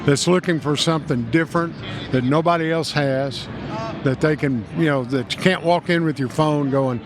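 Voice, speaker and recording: A man's voice: a little high, moderate pace, over music. Folksy older Kentucky man. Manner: folksy, rambling, enthusiastic salesman. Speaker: guest, music store owner. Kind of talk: casual trade-show interview.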